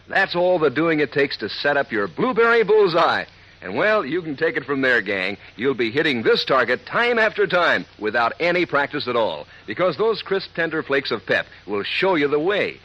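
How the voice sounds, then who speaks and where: A man's voice: mid-range voice, pushed and bright. A man with an American accent reading a 1940s radio commercial to children, folksy and peppy. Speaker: commercial announcer. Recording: dull vintage radio recording.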